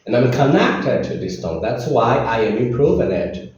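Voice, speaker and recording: A man's voice: medium pitch, expressive, normal speed, a little mellow. Echoey male teacher, non-native English speaker. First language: not English. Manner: emphatic, repeats key phrases. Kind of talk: informal language lesson to camera. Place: small room with whiteboard, indoors. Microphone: camera mic a few steps away. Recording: very echoey, distant, dull, faint noise.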